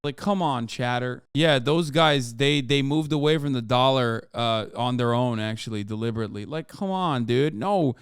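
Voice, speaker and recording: A man's voice: medium pitch, normal speed, hardly any pauses, clean tone, no room sound, studio quality. A man hosting a live stream who reacts casually, swears a lot, rambles and restarts his sentences. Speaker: streamer host.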